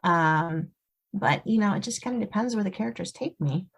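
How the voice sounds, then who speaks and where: A woman's voice: medium pitch, normal speed, creaky, breathy edge. A woman speaking as a guest author in a casual interview, explaining things in a storytelling way with examples. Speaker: guest novelist.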